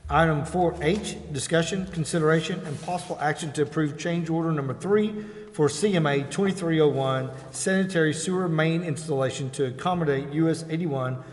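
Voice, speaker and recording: American man, meeting chairman, formal procedural delivery, fairly high male pitch, long room reverb. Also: fairly quick. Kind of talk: formal meeting procedure, reading agenda items. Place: indoor council chamber. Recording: echoing council chamber.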